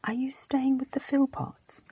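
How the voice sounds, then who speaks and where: A woman voicing a chatty, gossipy little village shop girl in a storybook, in a breathy whisper. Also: high-pitched, fairly even intonation, measured pace, warm tone, no room sound, voice pushed up, heavily acted. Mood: chatty, eager. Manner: chatty, eager.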